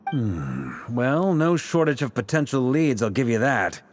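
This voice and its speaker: A man's voice: mid-range voice, very animated, unhurried, faint music behind. A man voicing an easygoing, confident commander in a fantasy video game, with a casual, assured and musing manner.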